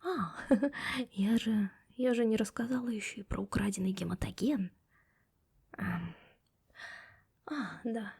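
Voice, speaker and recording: A young woman voicing a stern, sharp-tongued female office boss in an ASMR roleplay, whispering softly close to the mic. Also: medium pitch, measured pace, long pauses, breathy edge. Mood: hushed, secretive. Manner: scolding and sarcastic to staff.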